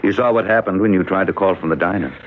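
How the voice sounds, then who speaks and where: An actor playing an earnest, hesitant small-town mayor in a classic radio drama, speaking deliberately and haltingly, with grave hope. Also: male voice, low voice. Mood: calm, reassuring. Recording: dull vintage radio recording.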